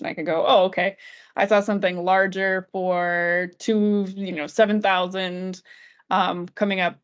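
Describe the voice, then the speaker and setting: A woman working as a software trainer, explaining a screen-share tutorial in an informal, conversational way, talking through each step aloud. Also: on the low side. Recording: dull, band-limited lo-fi audio.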